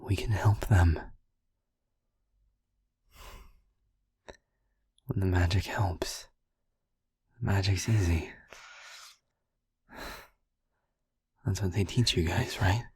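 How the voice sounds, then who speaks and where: A man playing a gruff, protective friend in an audio roleplay, speaking in a husky, breathy low voice with slow, deliberate phrasing. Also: darkish tone, dry recording, studio quality. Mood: gentle, reassuring.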